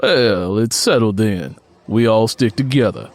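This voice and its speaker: A man's voice: fairly low pitch, a little slow, darkish tone, slight background noise, lightly acted. Man voicing an irritable, blunt husband. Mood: irritated, firm. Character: irritated, gruff husband and brother, adult man.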